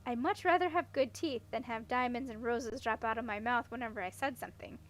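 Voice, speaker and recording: A woman's voice: a little high, a little fast, slight background noise, lightly acted. A woman voicing a headstrong, practical young princess in a storybook, with a frank, questioning, matter-of-fact manner. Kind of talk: audiobook character voice.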